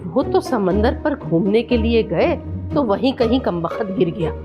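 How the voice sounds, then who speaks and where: A woman's voice: a little high, lively intonation, a little slow, muffled recording, over music. An older actress playing a fond, forgetful grandmother in a family TV drama, whimsical, with a Pakistani accent. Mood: wistful, whimsical. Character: fond, forgetful old grandmother who indulges her granddaughters.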